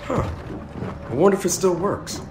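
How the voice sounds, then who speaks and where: A man's voice: high-pitched, expressive. An actor playing an eager young male soldier who jokes and banters. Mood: upbeat. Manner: eager, quick joking banter. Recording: game audio with background noise.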